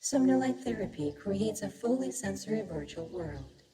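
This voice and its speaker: An actress as a cryptic therapist in cavernous reverb. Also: female voice, medium pitch, lively intonation, normal speed, crowd noise, close to an everyday voice. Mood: clinical, ominous. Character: calm, cryptic female therapist guiding a virtual-reality fear session. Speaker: actor. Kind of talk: radio theatre acting. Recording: long cavernous reverb, busy sound bed.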